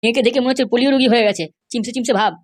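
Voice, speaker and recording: A man voicing a cheeky, nagging middle-aged father in a comic cartoon, brash and mocking, with a pitched-up voice. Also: steady tone. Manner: brash, mocking, fast-talking.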